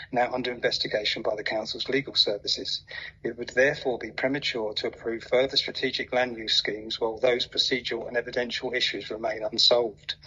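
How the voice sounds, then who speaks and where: Man, formal public statement, emphatic, tense husky voice, muffled lo-fi sound. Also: medium pitch, pushed and bright. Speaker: objector addressing a council planning committee. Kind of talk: formal prepared statement read aloud at a public meeting.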